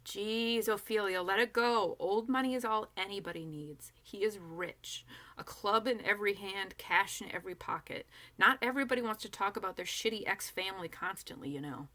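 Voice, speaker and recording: A woman's voice: medium pitch, normal speed, bright, forceful tone, natural voice. A woman voicing a bossy, sneering young female zombie in a storybook reading, with a scornful, impatient manner.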